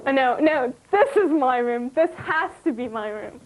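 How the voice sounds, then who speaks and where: A chatty, gushing eighteen-year-old woman talks in a casual, fairly high voice. She is a model from Germany who grew up in Vienna. A clear hiss of noise runs behind her. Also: expressive, normal speed, a little mellow, rough recording. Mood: thrilled. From Germany, raised in Vienna. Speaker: model, reality show housemate. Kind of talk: casual interview and house tour.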